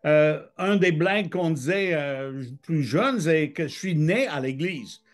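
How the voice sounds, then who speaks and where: Brief: middle-aged man from Michigan, slow and hesitant, slightly high voice, video call. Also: expressive, faint music behind. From Michigan, United States. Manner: hesitant, many "euh" fillers. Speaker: guest. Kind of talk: testimony interview.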